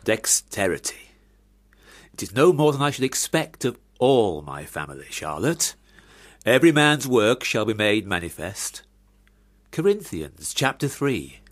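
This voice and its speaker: A man's voice: lowish voice, very animated, a little slow. An actor playing a proud, pious clergyman father in a radio drama, speaking in a formal, sermonising manner. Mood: proud, assured. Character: proud, pious Victorian clergyman father, exclamatory and scripture-quoting.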